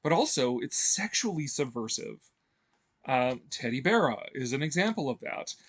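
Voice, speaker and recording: A man's voice: medium pitch, very animated, moderate pace, a bit bright. A man talking casually as a video host, rambling with many fillers and restarts and chuckling often. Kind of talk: casual enthusiastic commentary.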